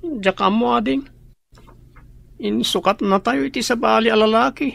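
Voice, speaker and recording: A woman's voice: on the high side, moderate pace, creaky, fairly bright tone, no room sound, exaggerated voice. A woman acting a resentful boy, an older brother, in a radio drama with a bitter, resigned manner.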